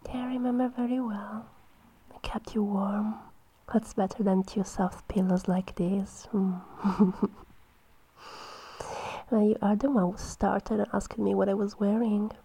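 Woman, breathy intimate whisper, teasing girlfriend role, unhurried and level delivery. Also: mid-range voice, level tone, rough recording. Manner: soft, teasing, affectionate. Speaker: girlfriend in audio roleplay. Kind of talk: whispered intimate audio roleplay.

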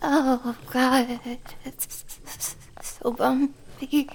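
A woman's voice: high voice, a little mellow, slight background noise, low-quality recording. A woman voicing a shy, flustered young female elf in an audio roleplay, talking nervously with stammers, apologies, whispers and giggles.

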